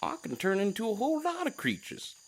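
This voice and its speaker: A man voicing a small, excitable frog-like druid in an audio drama. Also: high-pitched, brisk, husky, exaggerated voice. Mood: eager, folksy. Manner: folksy, colloquial, animated.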